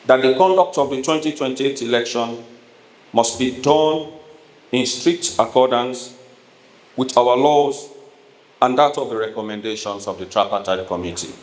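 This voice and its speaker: A man with a Sierra Leonean accent delivers a formal prepared political speech as a politician, speaking emphatically and deliberately in measured phrases. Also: medium pitch. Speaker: politician addressing a town hall. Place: echoey hall at a town hall event. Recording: echoey room with noticeable background noise.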